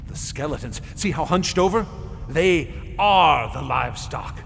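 An actor playing a feverish, morbidly knowing, unhinged manor heir in an intense, macabre radio drama performance. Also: male voice, a little high, animated, unhurried, creaky, a bit bright, a little hiss or hum, full character voice. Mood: feverish horror. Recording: cavernous reverb, faint music.

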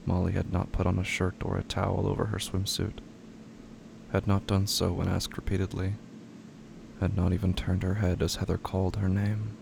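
A man narrating a scripted horror story in a deliberate, ominous manner. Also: low-pitched, completely flat delivery, gravelly, background noise.

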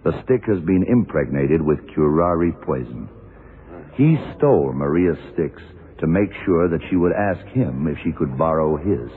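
An actor playing a shrewd, worldly, commanding male detective who explains deliberately, in the style of vintage radio drama. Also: very deep voice, lively intonation. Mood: assured, accusing. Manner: deliberate, hard-boiled explaining. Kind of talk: 1960s-70s radio drama acting. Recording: muffled, narrow-band vintage radio recording.

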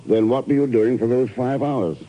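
An actor playing a cool, wry, probing secret agent in a 1950s radio drama. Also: male voice, mid-range voice, normal speed, lightly acted. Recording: noisy, muffled old radio broadcast.

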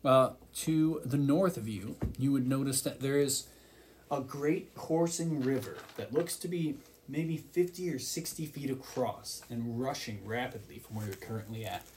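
A man's voice: mid-range voice, unhurried, a little mellow, slight background noise. A man acting as game master, narrating a tabletop game with descriptive scene-setting.

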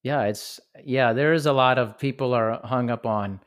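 An American man hosting a casual podcast interview, chatty and relaxed, laughing often. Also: mid-range voice, moderate pace. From United States. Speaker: podcast host.

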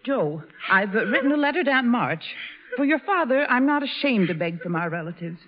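An actress playing a gentle, earnest middle-aged mother in a 1940s American radio drama. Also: a little high, sing-song, a little fast. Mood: anxious, resolute. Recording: muffled old radio recording.

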